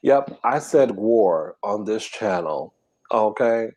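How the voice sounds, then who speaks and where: A man hosting a casual eating stream, talking softly and half-whispering in a relaxed, drowsy way while reading comments. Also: on the low side, takes it slowly, breathy edge. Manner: soft, quiet, half-whispered talk. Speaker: ASMR mukbang channel host.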